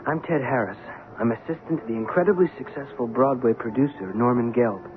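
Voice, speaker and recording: An actor playing an earnest, level-headed producer's assistant in a radio drama, plainspoken and questioning. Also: male voice, mid-range voice, a little fast, close to an everyday voice. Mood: confiding. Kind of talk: old-time radio drama acting. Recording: muffled, hissy old radio recording.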